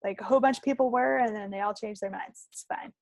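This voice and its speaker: A woman's voice: on the high side, quick pace, darkish tone, no echo, studio quality. An American woman chatting casually as a podcast co-host. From United States. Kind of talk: casual chat.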